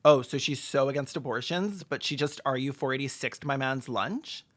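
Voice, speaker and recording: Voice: mid-range voice, normal speed, soft background music. Sarcastic, campy comedic commentator. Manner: sarcastic, snarky, camp deadpan jokes. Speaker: video essayist and commentator.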